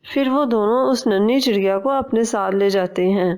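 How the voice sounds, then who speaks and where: A woman's voice: medium pitch, moderate pace, darkish tone. A woman narrating a scripted children's story.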